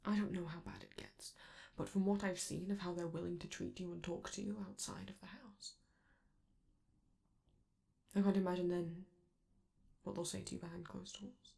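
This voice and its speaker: Casting: woman voicing affectionate, protective showbiz robot; lowish, heavy vocal fry, hushed intimate roleplay delivery with a theatrical streak. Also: fairly even intonation, moderate pace, pauses often. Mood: concerned, gentle. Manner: soft, theatrical, affectionate, hesitant, breaking into whispers. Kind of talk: intimate ASMR comfort roleplay.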